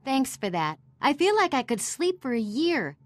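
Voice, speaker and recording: A woman's voice: high voice, sing-song, normal speed. A woman playing a weary, grateful young gnome-like survivor in a video game. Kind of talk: video game cutscene acting.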